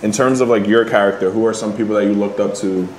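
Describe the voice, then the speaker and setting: A man's voice: on the low side, fairly even intonation, fast, darkish tone. A man hosting a casual, friendly interview in an echoey studio with faint background noise, using short informal questions. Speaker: interviewer, host. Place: indoor studio.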